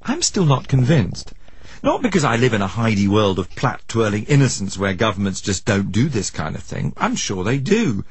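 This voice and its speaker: A man's voice: fairly low pitch, huge pitch swings, moderate pace, breathy edge. A man presenting a radio documentary, mixing scripted narration with probing questions in a wry, inquisitive manner.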